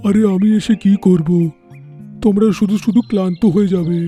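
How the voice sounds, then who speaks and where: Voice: medium pitch, fairly even intonation, moderate pace, mellow, soft background music, close to an everyday voice. A performer voicing an easygoing, lazy animal character in a children's cartoon. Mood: lazy, dismissive.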